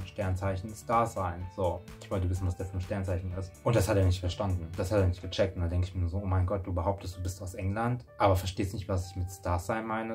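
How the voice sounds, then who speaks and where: A man's voice: low-pitched, a little mellow. A young man vlogging from his bedroom, telling a story in a chatty, rambling way with many restarts. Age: young adult. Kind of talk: casual storytelling to camera. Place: small bedroom indoors.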